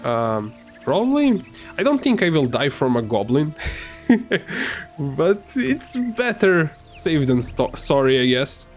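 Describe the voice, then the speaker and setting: A man whose first language is Serbian, giving casual, halting commentary while playing a game, with many fillers and restarts. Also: a little high, very animated, faint music behind. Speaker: gamer.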